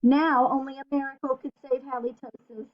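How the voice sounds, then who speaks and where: A woman's voice: high voice, little pitch movement, a little fast. A woman reading a children's picture book aloud in a playful manner, putting questions to her young listeners. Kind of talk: animated children's read-aloud with asides to the listener. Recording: dull, lo-fi sound.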